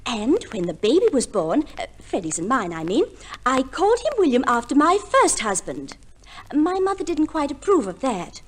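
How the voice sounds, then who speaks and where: A flighty young society wife, pitched high and very animated. She is vain and genteel, gushing in an affected way, in the manner of old radio comedy. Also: normal speed. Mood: gushing, self-satisfied. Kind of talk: 1950s radio comedy acting.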